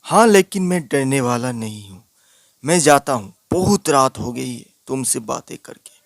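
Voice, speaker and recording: A man's voice: mid-range voice, animated, moderate pace, gravelly, dry recording, clean studio sound. A man playing an earnest, stubbornly brave young villager in a cartoon horror story. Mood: dismissive, confident.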